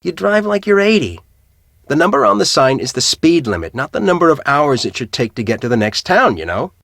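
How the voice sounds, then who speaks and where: A man's voice: a little high, big pitch swings, a little fast, gravelly, a bit bright, dry recording, exaggerated voice. Curt, impatient man, close and dry. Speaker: video game voice actor. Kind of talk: scripted game dialogue.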